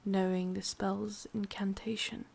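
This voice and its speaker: A woman with an English accent playing an ancient, possessive, sadistic witch in a whispered ASMR roleplay, slow, breathy and softly menacing. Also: medium pitch, level tone. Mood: wistful, intimate.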